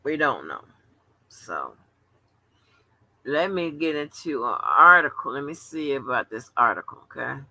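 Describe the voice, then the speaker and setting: A woman's voice: a bass voice, big pitch swings, unhurried, pauses often, pushed and bright, slightly rough, no room sound, low-quality recording. A woman in her seventies hosting a casual late-night livestream, talking in a rambling, chatty way with salty slang. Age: 70s. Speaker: livestream host.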